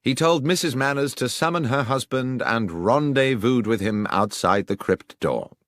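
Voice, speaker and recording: A man's voice: mid-range voice, moderate pace, a bit bright, no echo, studio quality, voice pushed up, natural voice. A man voicing a confident, theatrical detective in an audiobook, with a precise, declamatory and assured delivery. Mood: confident, accusing. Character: confident, theatrical male detective explaining his deductions.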